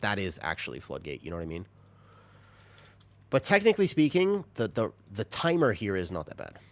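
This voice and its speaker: A man streaming a video game, chatting with viewers, reacting with excited high bursts and then dropping into quiet asides. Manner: animated, jumps into high excited bursts while reading chat; drops to low, quiet asides while setting up. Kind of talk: live-stream chat while gaming.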